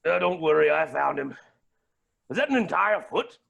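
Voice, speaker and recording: Flamboyant evil rich villain for a play, menacing, gravelly and raspy, swings all over the place. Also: male voice, a little high, lively intonation, moderate pace, heavily acted. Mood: breezy command. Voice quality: raspy, creaky. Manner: theatrical, grandiose, swinging from mutters to shouts. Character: flamboyant villainous male billionaire, menacing and unhinged. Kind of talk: staged play reading.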